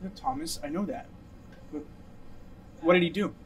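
An actor with an American accent playing a sardonic, teasing brother in a naturalistic drama. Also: male voice, on the high side, expressive, normal speed, slight background noise, rough recording. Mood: subdued, concerned. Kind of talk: naturalistic film dialogue.

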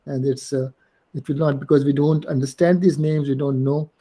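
An older man hosting an online poetry event, introducing a guest in a halting way with many pauses and restarts, over a video call. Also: medium pitch, fairly even intonation, warm tone. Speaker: host introducing a guest poet. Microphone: video call, laptop camera.

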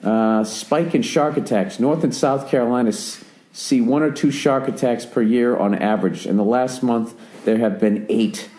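A profane, rambling American man in his forties hosts a podcast, swearing through a long story. His voice sits dark and mellow. The recording sounds roomy and echoey. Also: medium pitch, moderate pace. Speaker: podcast host. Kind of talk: casual podcast chat.

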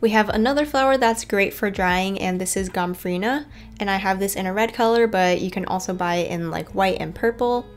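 A woman's voice: medium pitch, brisk. A woman narrating a casual garden tour in a chatty, informal way. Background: background music.

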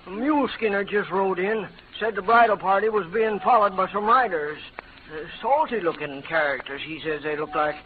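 Man as rustic American tattler, high-pitched voice, folksy western radio acting, tinny recording. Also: normal speed, lightly acted. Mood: urgent, confiding. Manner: folksy, rustic tale-telling. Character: Chuck, gossipy frontier townsman passing on news. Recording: faintly noisy, narrow-band old radio recording.

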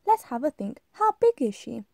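A woman's voice: high-pitched, big pitch swings, normal speed, gravelly, dark tone, dry recording, studio quality, exaggerated voice. A woman voicing a helpful, confident butterfly in a rhyming storybook, eager and encouraging. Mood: soothing. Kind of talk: rhyming storybook read-aloud.

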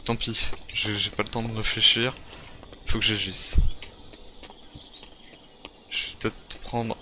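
A man's voice: fairly low pitch, flat delivery, takes it slowly. A man improvising first-person roleplay commentary on a game, muttering to himself in short, repeated phrases. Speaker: gaming video creator playing a survivor. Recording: very noisy home recording, game sounds behind.

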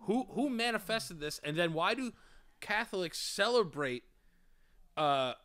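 A young man co-hosting a podcast from home, joking excitably and swearing freely into a studio mic. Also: high voice, measured pace. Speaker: podcast co-host. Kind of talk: casual comedic chat. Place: home room, indoors. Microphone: studio mic on boom arm, wearing headphones, remote call.

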